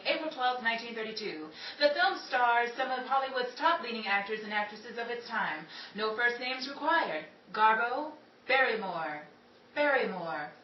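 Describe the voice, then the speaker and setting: A woman's voice: on the high side, lo-fi sound, natural voice. A woman playing a chatty, polished 1930s radio broadcaster with a theatrical, presentational delivery. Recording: echoey room, distant mic, noisy background.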